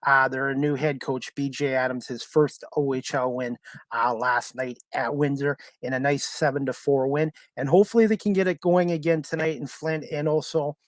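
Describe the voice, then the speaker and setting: A man's voice: mid-range voice, fairly even intonation, normal speed, no room sound. A man hosting a sports talk show, chatting casually in a rambling, repetitive way.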